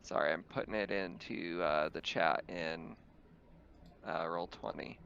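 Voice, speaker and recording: A man talking casually as game master, explaining things in a halting way over dull, noisy voice-chat audio. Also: low voice, vocal fry, a bit bright. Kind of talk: casual tabletop game talk.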